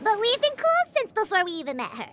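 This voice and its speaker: A woman voicing a tiny, cheeky, excitable fairy companion in a video game, with a squeaky childlike voice and a blunt, exclamatory manner. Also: fast, noisy background, full character voice. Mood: cheeky, boastful. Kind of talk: video game character dialogue. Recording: music behind the voice. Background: game music.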